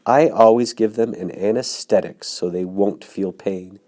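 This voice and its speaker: A man voicing a wise, kind female spider who talks in a brisk, measured way. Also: lowish voice, mellow, lightly acted. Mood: calm.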